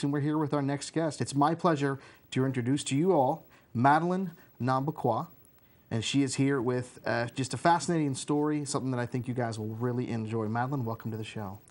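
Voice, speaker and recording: A man's voice: mid-range voice, brisk. A man in his thirties hosting a community TV interview show from a small studio desk, asking friendly, easygoing questions into a lapel mic. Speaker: host.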